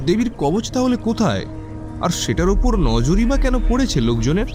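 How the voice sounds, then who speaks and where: A man's voice: sits high, sing-song, normal speed, over music. An actor playing a curious, skeptical young male college student in an audio drama, with an inquisitive manner. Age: young adult. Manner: questioning, inquisitive.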